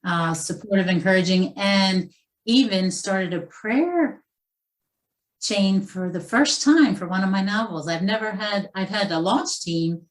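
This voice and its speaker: A woman author, a guest on a podcast, speaking haltingly with frequent "uh" pauses. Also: medium pitch. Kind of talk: casual interview chat.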